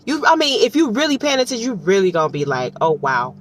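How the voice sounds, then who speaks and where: A woman's voice: medium pitch, very animated, fast talker. A woman from Detroit with an American accent gives casual, rambling commentary in a slangy, conversational way full of asides. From Detroit, United States. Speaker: audiobook reviewer. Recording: distant mic with faint background noise.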